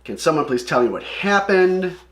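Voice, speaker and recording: A man's voice: a little high, very animated, normal speed, voice pushed up. Man reading as a bewildered comic-book hero, echoey. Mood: alarmed. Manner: questioning, exclamatory.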